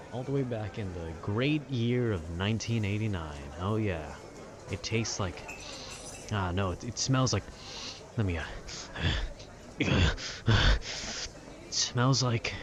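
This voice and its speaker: A man's voice: sits low, noisy background. A man casually rambling about video games in a laid-back, meandering way full of informal slang, like an amateur host talking off the cuff. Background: outdoor city ambience.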